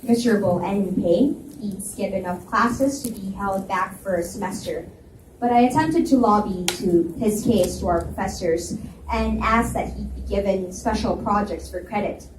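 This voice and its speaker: Woman narrating a story out loud at a live reading, echoey, kinda far from mic, slight noise. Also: medium pitch, moderate pace. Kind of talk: live first-person story narration with dialogue. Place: indoor reading event at a library. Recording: echoey room, distant mic, faint noise.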